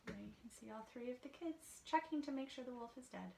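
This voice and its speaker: A woman's voice: on the high side, brisk, creaky, a little mellow, a little hiss or hum, rough recording. A woman reading a children's story aloud, dramatic and teacherly, with asides to the listeners.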